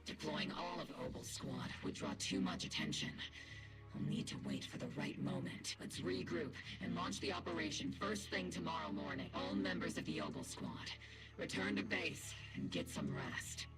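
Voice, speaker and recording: An actor playing a bold, confident military squad captain with stern resolve in a video game, with loud music behind. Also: mid-range voice, wildly swooping pitch, moderate pace, gravelly, poor audio. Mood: stern, resolute. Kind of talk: dramatic video game dialogue.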